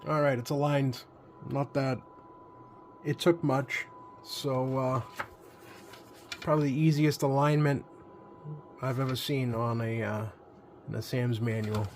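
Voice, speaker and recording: A man giving a casual, unscripted hands-on commentary as a hobbyist repairer, easygoing and halting as he thinks aloud. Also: medium pitch, steady tone, a little mellow, slight background noise. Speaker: radio repair hobbyist hosting a video.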